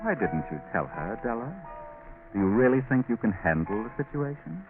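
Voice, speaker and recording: An actor playing a taunting, omniscient narrator in a theatrical 1940s radio suspense drama. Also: male voice, medium pitch, sing-song, normal speed, natural voice. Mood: taunting, menacing. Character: sinister male narrator who taunts the characters, omniscient and menacing. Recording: tinny band-limited 1940s radio recording. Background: music.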